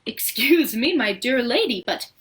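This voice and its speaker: A woman's voice: a little high, sing-song, unhurried, a bit bright, recorded from a distance, pitched above the performer's own voice. A woman voicing an eccentric, boastful male chocolate maker, proud and showy in manner.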